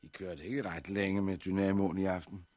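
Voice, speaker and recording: An actor playing a mild, persistent man with practical ideas in a 1960s radio drama, husky and measured. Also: low-pitched, flat delivery, a little mellow, no echo, lightly acted. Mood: tentative, helpful.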